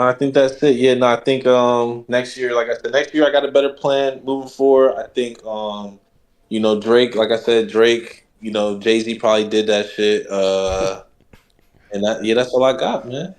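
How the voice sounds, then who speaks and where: A man's voice: mid-range voice, fairly even intonation. Easygoing man guest, rambling. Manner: easygoing, rambling, drawn-out fillers. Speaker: guest caller from the chat server. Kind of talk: casual podcast chat.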